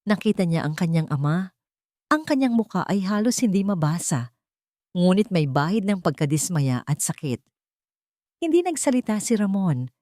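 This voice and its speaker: A woman's voice: fairly low pitch, warm tone, dry recording, studio quality. A woman reading a scripted dramatic story as a storyteller.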